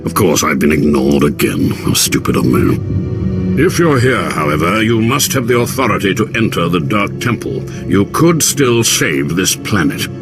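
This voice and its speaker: An actor playing a grave, urgent Sith scholar in a video game, speaking in a theatrical, formal, foreboding way. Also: male voice, sits low, very animated, normal speed, over loud music. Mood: bitter, then urgent, appealing. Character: grave, urgent Sith scholar, male, warning of ancient dead. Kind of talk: dramatic game cutscene acting.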